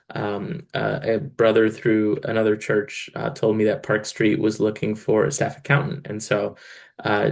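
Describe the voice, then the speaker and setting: Guy from egypt, 30s, kinda low gravelly voice, chatty w lots of uhs. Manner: relaxed, many fillers, laughs between phrases. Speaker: church financial controller and bivocational pastor. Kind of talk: casual interview answers.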